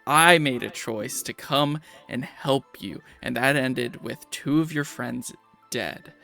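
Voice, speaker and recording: A furious adventurer scolds his companions, his voice rough with fry and bright, pushing edge, as a man puts him on. He stays stern and reproachful, hammering each point home. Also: mid-range voice, takes it slowly, faint music behind, heavily acted. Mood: angry lecturing. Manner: shouting, reproachful. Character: angry adventurer man lecturing his party.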